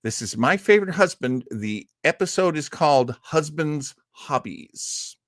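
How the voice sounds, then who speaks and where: A man presents vintage radio as host, speaking slowly and dramatically. His pitch swings widely in a dry-sounding recording, like a showman's introduction. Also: expressive, a bit bright, dry recording. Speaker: host of old-time radio show stream. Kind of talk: theatrical episode introduction.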